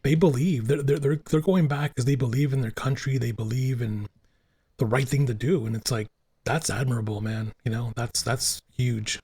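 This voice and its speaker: A man's voice: medium pitch, fairly even intonation, moderate pace, darkish tone, husky. A man whose first languages were Italian and Spanish, talking earnestly on a podcast and repeating himself for emphasis. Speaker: podcast co-host. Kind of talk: casual podcast chat.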